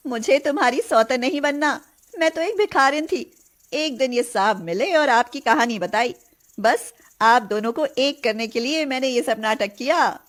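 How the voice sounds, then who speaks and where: Woman acting a high-pitched young beggar girl putting on airs. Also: animated, moderate pace, a bit bright. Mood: apologetic. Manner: pleading when begging, sugary and provocative when posing.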